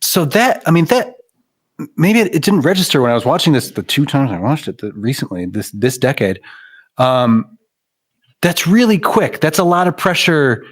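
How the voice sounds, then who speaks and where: A man's voice: on the high side, big pitch swings, normal speed, husky. Sardonic man in podcast chat. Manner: rambling, sardonic asides. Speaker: podcast co-host. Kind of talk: casual movie chat.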